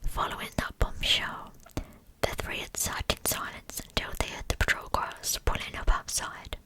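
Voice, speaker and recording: A woman narrating a true crime story as ASMR, in an unvoiced, breathy whisper with a measured, hushed delivery. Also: rough recording. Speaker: ASMR channel host, narrator.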